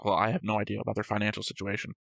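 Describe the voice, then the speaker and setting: A man's voice: lowish voice, completely flat delivery, rapid-fire, gravelly, a bit bright, no echo, clean studio sound. A man hosting a reaction show, reading out notes and discussing them casually in a matter-of-fact, slightly pedantic way.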